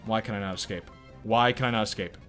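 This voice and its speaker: A man's voice: lowish voice, fairly even intonation, fast talker, a bit bright, soft background music. A man giving casual, profane, rambling commentary while playing a video game and reacting to chat. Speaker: let's play streamer.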